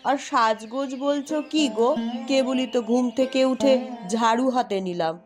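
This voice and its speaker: A woman's voice: high-pitched, normal speed, over music, lightly acted. Woman as a sassy young cartoon village sister. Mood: indignant, grumbling. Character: cheeky, grumbling young village sister, bold boaster. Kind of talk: Bengali cartoon voice acting.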